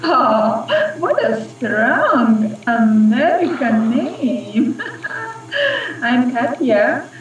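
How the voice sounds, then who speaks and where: A woman's voice: high-pitched, very animated, extremely slow, soft background music, full character voice. A woman playing a seductive, scheming Russian agent ghost in a radio play, with a Russian accent and a purring, taunting delivery. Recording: dull, echoey, distant, slightly noisy recording.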